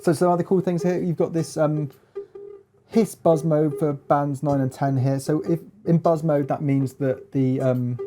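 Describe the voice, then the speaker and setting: A man giving a casual hands-on demonstration as a gear reviewer, explaining in a halting, hesitant way. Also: a little high, steady tone, dark tone, faint music behind.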